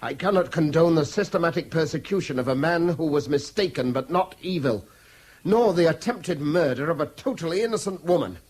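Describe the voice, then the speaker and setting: An actor playing a guilt-ridden but principled British man in a radio drama, speaking in formal, measured, articulate sentences. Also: a little high, lively intonation, a little mellow, husky. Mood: remorseful, indignant. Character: guilt-ridden, principled, indignant educated man under threat. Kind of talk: BBC radio drama acting.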